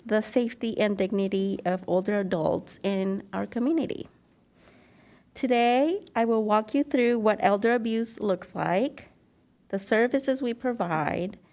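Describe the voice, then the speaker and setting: A woman getting over a cold, an elder abuse advocate giving an earnest prepared presentation at a podium mic in a council chamber, clearing her throat now and then. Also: on the high side, a little mellow. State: recovering from a cold. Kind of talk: formal presentation to a commission.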